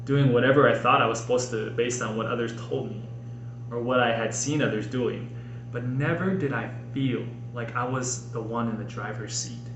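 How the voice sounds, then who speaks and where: A man in his late thirties reading his own personal writing aloud, earnestly and emphatically, with dramatic pauses. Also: mid-range voice, a bit bright. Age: late 30s. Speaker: author and podcast host reading own book. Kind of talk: expressive audiobook-style personal narration. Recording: roomy echo, faint background noise.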